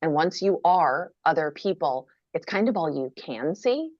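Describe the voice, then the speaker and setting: A woman's voice: low voice, lively intonation, unhurried, darkish tone, no echo, highs cut off. A woman in her thirties from Minnesota, answering interview questions on a video call with candid, wry, self-deprecating humor. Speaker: guest author.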